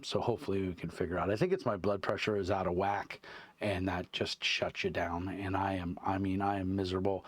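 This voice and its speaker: A man with a deep voice hosting his tabletop game review channel, rambling casually with lots of fillers and restarts. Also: no echo. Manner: meandering, many fillers and restarts. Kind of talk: casual rambling chat to camera. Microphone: wireless clip-on mic.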